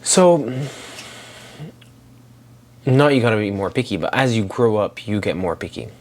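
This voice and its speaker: A man's voice: fairly low pitch, expressive, unhurried, darkish tone, slight background noise. A man in his twenties, originally from Syria, talking reflectively in a personal daily vlog, rambling with many fillers and restarts. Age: 20s. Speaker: daily vlogger.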